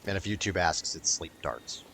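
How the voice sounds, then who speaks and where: A man with a low, fry-heavy voice commenting casually on his game. He rambles, swears and jokes as he plays, with some game noise behind him. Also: expressive, moderate pace, a bit bright. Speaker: gaming YouTuber.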